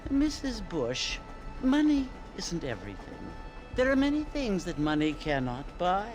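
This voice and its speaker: A woman's voice: lowish voice, wildly swooping pitch, over music. A woman playing a snobbish, judgmental older society lady in a western video game. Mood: prim, haughty. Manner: snobbish, deliberate, disdainful.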